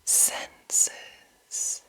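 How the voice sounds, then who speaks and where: A woman reads in a hushed ASMR whisper, entirely breathy and unvoiced. She takes her time over each short, deliberate phrase, with a crisp, sibilant brightness to the whisper. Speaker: ASMR host reading a monster manual.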